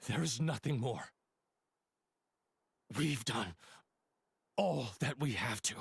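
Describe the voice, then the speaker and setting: A man's voice: medium pitch, lively intonation, takes it slowly, creaky, slightly rough, no echo, heavily acted. An actor playing a weary, solemn, fatalistic male antagonist in a video game. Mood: resigned. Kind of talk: dubbed video game cutscene acting.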